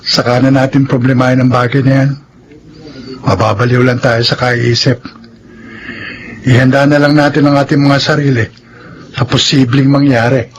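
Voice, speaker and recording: An actor playing a calm, secretive young man in a Philippine radio drama, steady and resigned. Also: mid-range voice, normal speed, pauses often, a little hiss or hum, close to an everyday voice, poor audio.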